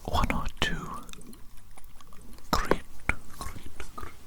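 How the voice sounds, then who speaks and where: Female ASMR performer as evil doctor: fully whispered, breathy, deliberate, very unhurried delivery. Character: evil doctor testing a tiny creature. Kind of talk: ASMR medical roleplay.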